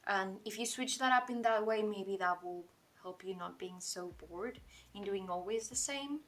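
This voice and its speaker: A Portuguese woman with her accent, chatting in English while she draws on a livestream. She talks fast and rambles, sprinkling in "like" and catching herself mid-sentence, at a middling pitch. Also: a bit bright. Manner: fast-talking, rambling, with frequent "like" and self-corrections. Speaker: artist streaming a drawing session. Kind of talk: casual livestream chat while drawing.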